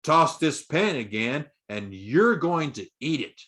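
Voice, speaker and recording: Cruel storybook taskmaster, voiced by a male reader: barking, threatening, deliberate. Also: on the high side, very animated, fairly bright tone, voice pushed up, full character voice. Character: cruel evil male slave master of child beggars.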